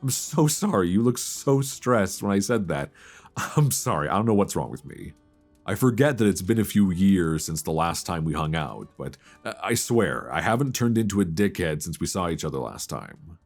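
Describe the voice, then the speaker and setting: Deep-voiced, playful male friend, acted by a man. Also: big pitch swings, normal speed, faint music behind, full character voice. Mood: flustered, apologetic. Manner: teasing, stammering, chuckling banter. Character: playful, flustered male old friend hosting Friendsgiving, affectionate teaser. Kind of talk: intimate romantic ASMR audio roleplay, casual and conversational.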